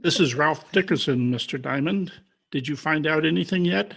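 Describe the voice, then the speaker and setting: An actor playing a slow-witted, plaintive lovelorn man in an old-time radio detective drama. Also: a little high, gravelly, a bit bright. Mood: puzzled.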